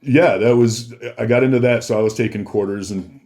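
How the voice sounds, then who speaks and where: A man's voice: lowish voice, steady tone, fairly quick, a little mellow. A man, telling stories casually as a podcast guest, informal and joking. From Minneapolis, USA. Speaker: podcast guest, DJ and personal trainer. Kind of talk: casual storytelling.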